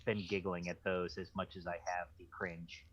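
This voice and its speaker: A man's voice: low-pitched, flat delivery, normal speed, fairly bright tone, lo-fi sound. A man co-hosting a book club in casual, conversational chat.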